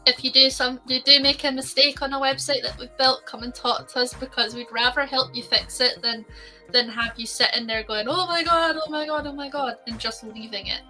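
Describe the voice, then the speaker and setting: Bright-voiced Scottish woman. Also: high voice, fairly even intonation, brisk, faint music behind. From Scotland. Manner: chatty, laughs easily. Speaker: co-host, designer. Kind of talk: casual business podcast chat.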